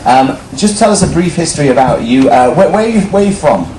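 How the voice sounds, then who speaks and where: Male cruise host, lively pitch swings, jovial showman delivery, live in an echoing venue. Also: fast. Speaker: cruise ship host introducing crew on stage. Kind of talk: live stage presentation with interview. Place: large ship venue, on stage. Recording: echoey hall with loud noise and crowd.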